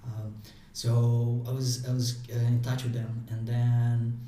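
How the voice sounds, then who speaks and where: A man with a mellow, flat voice and a non-native way of speaking, pausing and restarting often as he answers interview questions. He is heard from some distance in a very echoey room, with a faint hiss underneath. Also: medium pitch. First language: not English. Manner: halting, many restarts and fillers. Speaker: guest.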